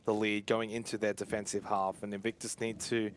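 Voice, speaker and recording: A man's voice: fairly low pitch, flat delivery, a little fast, background noise. A man giving colour commentary on a live esports match in a conversational, reactive way.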